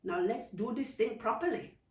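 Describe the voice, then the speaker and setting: Woman doing a playful bossy auntie from a storybook, loves teasing, voice leaps up high, bit roomy and far from mic. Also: moderate pace, close to an everyday voice. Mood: playful, commanding. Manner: playful, commanding, dialect phrasing. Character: exuberant, teasing adult aunt who loves Christmas. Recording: roomy, distant microphone.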